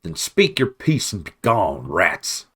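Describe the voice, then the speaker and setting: A man voicing a big female badger guard who shouts harshly and without fear. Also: low voice, very animated, takes it slowly, slightly rough, full character voice. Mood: defiant.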